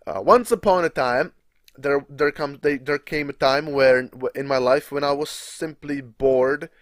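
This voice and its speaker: A man's voice: a little high, unhurried, dry recording, studio quality. A young man from the Czech Republic with a Czech accent, rambling casually as he commentates a game, restarting sentences and swearing now and then. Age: young adult. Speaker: gaming commentator.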